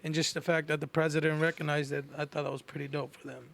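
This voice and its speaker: A man's voice: on the high side, flat delivery, quick pace, vocal fry, fairly bright tone. A man co-hosting a podcast in casual conversation, with laid-back, slangy phrasing. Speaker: podcast co-host. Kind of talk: casual chat.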